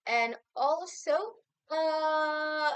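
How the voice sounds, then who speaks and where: High-voiced young woman student. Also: fairly bright tone, dry recording, muffled recording. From Indonesia. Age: young adult. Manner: measured, explanatory, with occasional hesitant restarts. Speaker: university student. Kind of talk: answering assignment questions on video.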